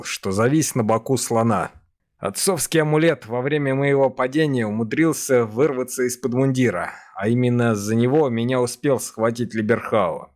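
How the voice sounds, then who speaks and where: A man voicing an English officer who recounts his past from a diary in a measured, grave radio-play monologue. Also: mid-range voice, close to an everyday voice. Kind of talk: first-person dramatic monologue, radio play.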